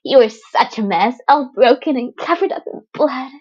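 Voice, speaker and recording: A giddy teenage villain girl, voiced by a woman narrator, bubbly and teasing, pitched higher in a storytelling style. She sounds gleefully cheerful and playful, on a muffled home recording. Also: animated, normal speed, breathy edge. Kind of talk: fan fiction audio storytelling.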